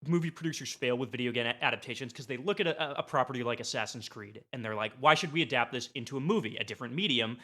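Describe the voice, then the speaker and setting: A man's voice: mid-range voice, fast, hardly any pauses, sharp tone. A man appearing as a podcast guest, making a playful, emphatic argument in a casual explanatory way. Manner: emphatic, explanatory, checks with "right?".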